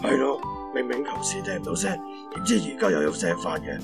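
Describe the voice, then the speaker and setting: An actor playing a gruff, gravelly elderly grandfather baffled by technology, speaking Hong Kong Cantonese in a radio drama. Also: mid-range voice, wildly swooping pitch, fairly quick, over loud music, full character voice. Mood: dismissive. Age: older.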